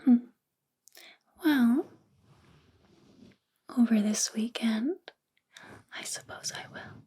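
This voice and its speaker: Woman as obsessed, flirty lady boss for ASMR roleplay: breathy near-whisper, unhurried, intimate, teasing. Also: on the high side, dark tone, exaggerated voice. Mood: teasing, possessive. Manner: slow, intimate, coaxing, teasing. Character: possessive, obsessed, flirtatious female boss doting on employee. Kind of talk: soft-spoken ASMR roleplay.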